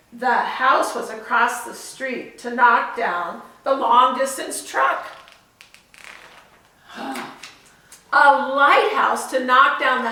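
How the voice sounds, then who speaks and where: A woman reading a picture book aloud for children, expressive, with chatty asides and questions to her young listeners. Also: high voice. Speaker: volunteer storyteller. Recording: echoey room, far from the mic.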